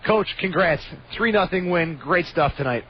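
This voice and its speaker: A man's voice: sits high, animated, normal speed. A man hosting a sports radio call-in show, upbeat and moving the conversation along. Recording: dull lo-fi radio audio, faint noise.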